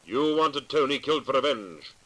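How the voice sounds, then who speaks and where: An actor playing a stern, accusing male avenger in a 1940s radio mystery, deliberate and ominous. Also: mid-range voice, lively intonation, a bit bright, slightly rough. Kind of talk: 1940s radio drama acting.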